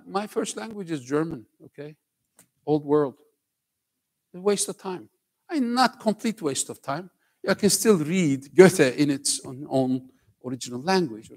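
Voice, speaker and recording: A man's voice: a little high, darkish tone. An older man from Turkey with a slight Turkish accent, lecturing students on stage in a conversational way, often checking in with "okay?" and joking with the audience. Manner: conversational, keeps asking "okay?", jokes with the crowd. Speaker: professor giving a talk to students. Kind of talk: lively lecture to a school audience. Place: large auditorium stage.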